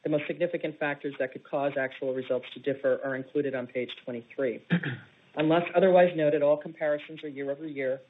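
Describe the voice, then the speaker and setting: A woman's voice: low-pitched, fairly even intonation, a little fast. A woman in investor relations reading formal prepared remarks on a conference call. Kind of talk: formal scripted corporate reading. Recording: dull, faintly noisy conference call playback.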